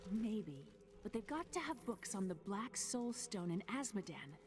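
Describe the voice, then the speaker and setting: A woman's voice: medium pitch, very animated, unhurried, a little mellow. A woman playing an earnest, thoughtful young female scholar in a fantasy video game. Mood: earnest, reflective.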